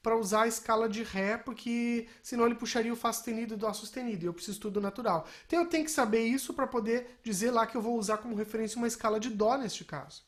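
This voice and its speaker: A woman speaks in a somewhat high-pitched voice. She teaches in an informal, explanatory way, like a music technology instructor walking through software. She often adds short tag questions to check that listeners follow. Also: fast talker, few pauses. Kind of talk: informal spoken software lesson.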